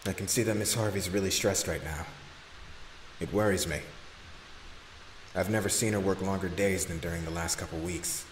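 A man's voice: low voice, steady tone, moderate pace, long pauses, lightly acted. A man playing a loyal, anxious right-hand employee in an audio roleplay, earnest and respectful. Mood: earnest, confiding. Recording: roomy echo, faint background noise.

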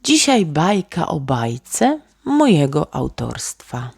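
A woman storyteller narrating a bedtime tale for children in a gentle, expressive way. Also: sits low, slow pace, vocal fry, breathy edge, dry recording, clean studio sound. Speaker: storyteller, author reading own tale.

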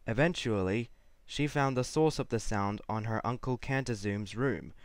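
A man's voice: medium pitch, moderate pace, dry recording. A man narrates a scripted story in a mid-range voice. The sound is dry, with no room around it.

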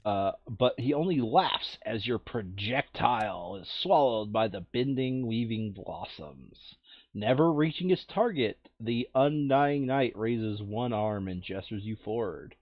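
A man casually playing through a board game, reading card text aloud and adding expressive, muttered asides. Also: mid-range voice, unhurried, dry recording, muffled recording.

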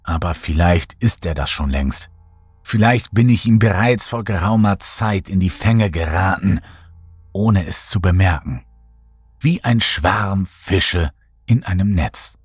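A man narrating a horror story as a scripted audiobook, in a measured, dramatic storytelling manner. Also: low voice, husky, no room sound, faint music behind.

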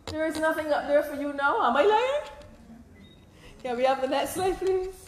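A Barbadian woman giving a public lecture into a stand mic on a hall stage, animated, slipping into local dialect at times. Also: high-pitched, moderate pace, slight background noise. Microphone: stand mic, filmed from the audience. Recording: echoey hall, faint ambience.

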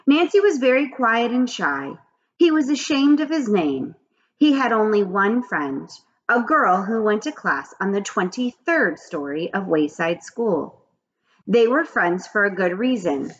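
A woman in her forties, a teacher, reading a children's chapter book aloud in an expressive, animated way at a webcam in a small home office. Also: medium pitch, a little slow. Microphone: webcam at desk. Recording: dull lo-fi webcam audio.

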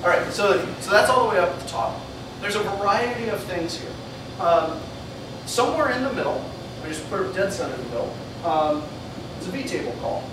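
A young man giving a meetup talk at a whiteboard, animated and laughing often as he thinks aloud and takes questions. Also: high voice, fairly quick. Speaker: meetup speaker. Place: meeting room with an audience. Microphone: far camera mic. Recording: echoey room from far off, noisy.

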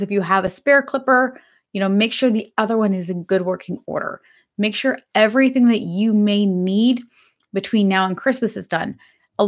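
A woman in her thirties, slightly hoarse, talking to a webcam in her home office. She is chatty and upbeat, as if talking to viewers in a live stream. Her pitch sits in the middle range. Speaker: pet grooming business host. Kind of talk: casual live-stream talk. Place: home office with bookshelves, indoors.

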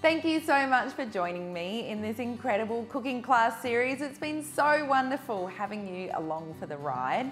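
Animated, high-voiced woman presenter. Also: moderate pace, few pauses, faint music behind. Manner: enthusiastic. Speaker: cooking series presenter. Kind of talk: upbeat presenting to camera. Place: home kitchen indoors.

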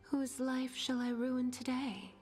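A woman voicing a seductive, cruel female demon in a video game, teasing and breathy, often dropping into a whisper. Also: sits high, little pitch movement, a little mellow, faint music behind. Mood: sultry menace. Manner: sultry, slow, teasing. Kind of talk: video game character voice lines.

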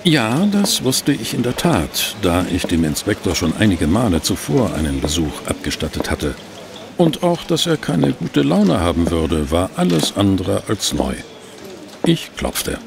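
A dogged, cheeky reporter in an audio detective drama. His slightly low voice is gravelly and swings in pitch. He is polite and wry, always probing, over faint noise. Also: huge pitch swings, a little slow, full character voice. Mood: pensive narration. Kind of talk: German audio drama acting and narration.

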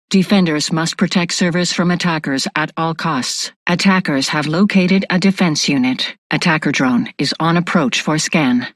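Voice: mid-range voice, no room sound, clean studio sound, natural voice. A performer voicing an impassive facility defence computer in a military video game, with clipped, formal announcements. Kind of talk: scripted game announcer lines.

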